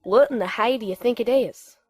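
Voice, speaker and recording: A woman's voice: a little high, brisk, a little mellow, soft background music, lightly acted. A woman voicing a plainspoken, folksy, affectionate country pony in an audiobook. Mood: concerned. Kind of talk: audiobook character voice.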